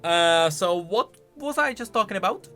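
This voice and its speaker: A male video host reacting casually to game lore in a conversational, questioning way. His voice is bright and fairly high, swinging animatedly up and down over faint music. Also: lively intonation, normal speed. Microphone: close studio-style microphone.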